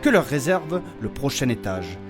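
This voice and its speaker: A man's voice: mid-range voice, very animated, normal speed, close to an everyday voice. Solemn storyteller for a fantasy audio saga, voiced by a man: mid-range, theatrical, music underneath. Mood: dramatic. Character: dramatic storyteller recapping a fantasy quest. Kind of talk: scripted narration.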